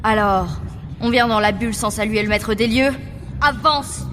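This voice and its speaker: A woman's voice: fairly high pitch, moderate pace, in a large hall, noisy background, lightly acted. An actress playing a bossy, mocking gang henchwoman in an audio drama. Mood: threatening, mocking.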